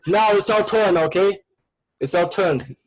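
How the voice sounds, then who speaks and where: A man's voice: high voice, unhurried, low-quality recording. A man with a Chinese accent, a native Chinese speaker teaching an online class and coaxing students with repetitive prompts in broken English.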